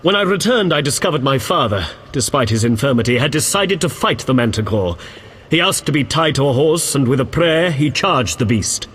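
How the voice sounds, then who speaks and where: An earnest game knight: slightly husky voice, solemn courtly phrasing with expressive swings, heard in long reverb. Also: male voice, medium pitch, fairly quick. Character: earnest, pious, courteous male knight of an order. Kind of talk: video game character acting.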